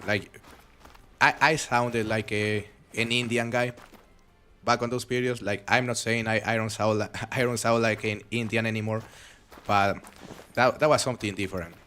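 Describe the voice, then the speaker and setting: Young male game streamer, relaxed and rambling, accented, lowish voice, faint hum behind. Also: moderate pace. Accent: foreign accent. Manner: relaxed, chatty, rambling with frequent restarts. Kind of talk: live game stream commentary reading chat.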